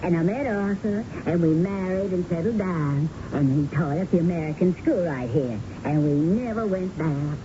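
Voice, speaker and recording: A restless, chatty older Southern wife in a radio play, acted by a woman, with a fairly low voice. She rambles fussily and stretches her words. The old broadcast recording is muffled and noisy. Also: close to an everyday voice. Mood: chatty, curious. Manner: rambling, complaining, drawn-out words. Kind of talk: 1970s radio drama acting.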